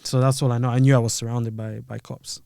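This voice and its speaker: A man's voice: medium pitch, flat delivery, fast talker, creaky, mellow, clean tone, dry recording, studio quality. A man from Italy, a podcast guest, chatting casually and laughing often, dropping "man" and "bro.". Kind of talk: casual chat.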